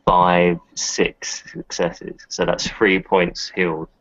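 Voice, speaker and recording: A man's voice: sits low, flat delivery, slow, a bit bright. A man casually describing his actions and dice rolls as a tabletop game player. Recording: dull lo-fi audio.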